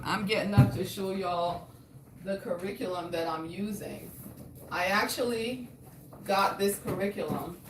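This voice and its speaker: A woman's voice: mid-range voice, noisy background. A woman in her forties, a homeschooling mother from Haiti whose first language was French, chatting casually to camera with frequent restarts. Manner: chatty, restarts and repeats words.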